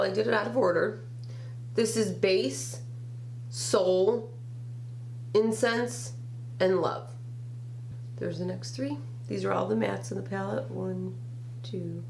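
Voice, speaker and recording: A woman's voice: medium pitch, slight background noise. A grandmother with a nasal, stuffed-up voice from a summer cold, chatting through a makeup review in a small bedroom and naming each shade one by one. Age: older. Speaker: beauty vlogger. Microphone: camera at desk distance.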